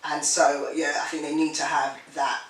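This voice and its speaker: A woman's voice: deep voice, flat delivery, normal speed. A woman co-hosting a podcast in casual, conversational style. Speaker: podcast co-host. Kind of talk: casual chat. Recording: roomy echo, distant from the mic.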